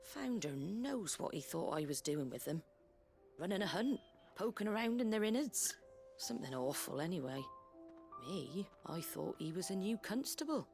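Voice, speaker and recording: A woman playing a brisk, plain-spoken British innkeeper in a video game, earthy, colloquial and wry, tough but kindly. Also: on the low side, big pitch swings, gravelly, a little mellow. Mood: wry, reminiscing. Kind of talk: video game character dialogue. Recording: game music behind the voice.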